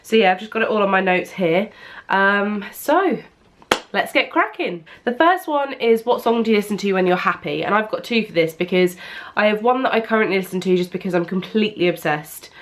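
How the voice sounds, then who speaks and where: A woman's voice: mid-range voice, lively intonation, a little fast, a little hiss or hum. A young British woman vlogging at home, talking casually to a camera propped on an ironing board, her nose blocked with a cold and her talk full of ums. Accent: British English. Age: young adult. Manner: chatty, rambling, many ums. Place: at home, indoors.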